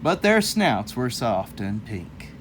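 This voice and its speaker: A man's voice: mid-range voice, big pitch swings, takes it slowly, fairly bright tone, a little hiss or hum. A man reading a children's story aloud in a folksy, rambling way, breaking off for casual, jokey asides. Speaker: host of a children's read-aloud show.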